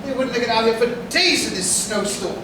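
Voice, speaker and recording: An actor playing a blustering, alarmed upper-class gentleman in a staged whodunit, emphatic and commanding. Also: medium pitch, animated, brisk, lightly acted. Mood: commanding, urgent. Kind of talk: theatrical staged whodunit acting. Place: stage in a hall, recorded from afar. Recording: echoey hall, distant mic, noisy.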